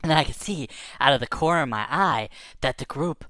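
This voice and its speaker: Female children's storyteller: deep-pitched, very animated, chatty improvised delivery, dry recording. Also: brisk, no echo. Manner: animated, conversational, acts out dialogue.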